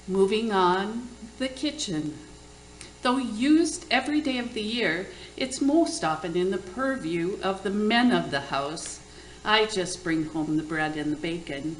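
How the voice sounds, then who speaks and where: Woman reading poetry in an echoey room. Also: mid-range voice. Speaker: poet. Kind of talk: reading a narrative poem aloud. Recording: echoey room, faint noise.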